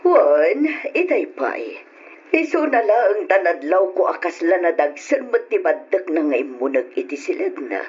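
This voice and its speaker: Heartbroken young woman, widely swinging pitch. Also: very animated, measured pace, darkish tone, breathy edge, exaggerated voice. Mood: downcast. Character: heartbroken betrayed young woman, Heidi, bitter and wounded. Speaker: radio drama actress. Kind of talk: old-fashioned Ilocano radio drama acting. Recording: muffled, noisy old radio recording.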